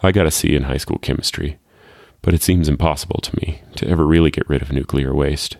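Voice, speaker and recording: A man reading a first-person short story as a world-weary, wryly fatalistic parent who works as a security guard, in a deadpan, measured way. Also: a bass voice, creaky, no room sound, studio quality, heavily acted. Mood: wry, resigned.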